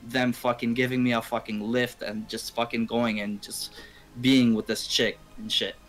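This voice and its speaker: A man's voice: medium pitch, level tone, moderate pace, fairly bright tone, rough recording. A young man from Canada telling a rambling, slangy, profanity-heavy story casually on a game stream. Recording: game music under the voice. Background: video game music.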